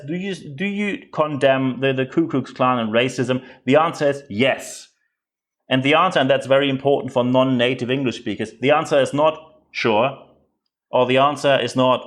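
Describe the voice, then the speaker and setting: A man with a German accent, speaking English as a second language, hosting a podcast and giving direct, earnest commentary with frequent repeated words. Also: medium pitch. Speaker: podcast host.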